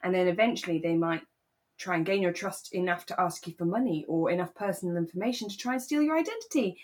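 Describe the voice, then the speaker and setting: A woman co-hosting a podcast in relaxed, reflective, conversational chat. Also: lowish voice, fast. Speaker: podcast co-host. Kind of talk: relaxed casual chat about a radio drama.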